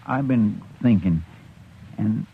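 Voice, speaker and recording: An actor playing a loyal, bashful frontier deputy in a 1950s radio drama, stammering and deferential. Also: male voice, on the low side, lively intonation, takes it slowly, slight background noise. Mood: anxious. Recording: dull vintage 1950s radio recording.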